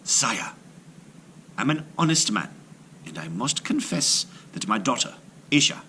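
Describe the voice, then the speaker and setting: A man's voice: medium pitch, very animated, unhurried, sharp tone, a little hiss or hum, exaggerated voice. A man voicing an anxious, earnest, honest coppersmith father in a storybook folktale. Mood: humble. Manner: miserable, earnest.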